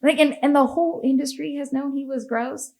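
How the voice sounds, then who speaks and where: A woman's voice: high-pitched, fairly even intonation, brisk, mellow. A woman with an American accent hosting a podcast, talking in a casual, opinionated way, blunt and sweary, laughing often. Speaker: podcast host.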